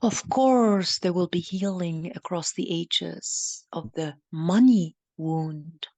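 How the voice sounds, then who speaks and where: A woman leading a channeled guided meditation, speaking solemnly in halting, deliberate, repetitive phrases that often soften toward a breathy whisper. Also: fairly low pitch, no room sound.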